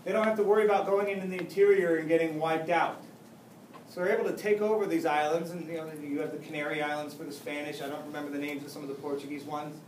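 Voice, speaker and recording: A male high school history teacher gives a chatty lecture, cracking jokes and restarting his sentences. His voice is a touch high. It was picked up from far across an echoey classroom, with a faint hum behind it. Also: steady tone, fast. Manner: joking, chatty, with many restarts. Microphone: camera far across the room.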